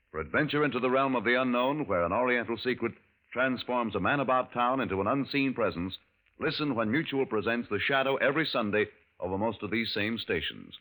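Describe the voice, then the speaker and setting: A man's voice: medium pitch, sharp tone, rough recording. An American man announcing formally and dramatically in the scripted style of 1940s radio. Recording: vintage radio recording with music.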